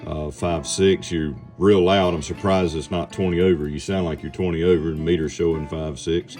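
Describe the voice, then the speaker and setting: A man's voice: low voice, fairly even intonation, normal speed, darkish tone, faint music behind. An American man hosting a casual amateur radio vlog, talking through his contacts and giving call signs.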